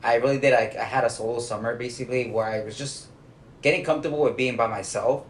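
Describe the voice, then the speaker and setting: A man's voice: medium pitch, fairly even intonation, fast talker. Young man chatting in an echoey room. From United States. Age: young adult. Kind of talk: casual podcast chat. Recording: echoey room, faint noise.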